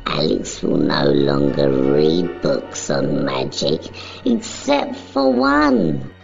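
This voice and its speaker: A narrator reading a motion comic script in a solemn, measured, dramatic storytelling style. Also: very deep voice, big pitch swings, creaky. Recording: dull lo-fi sound, music underneath.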